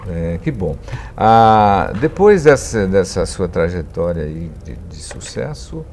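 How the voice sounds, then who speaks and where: A man's voice: sits low, sing-song, slow pace, a little mellow, a little hiss or hum. A man with a Brazilian accent hosting a conversational interview. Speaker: interviewer, host.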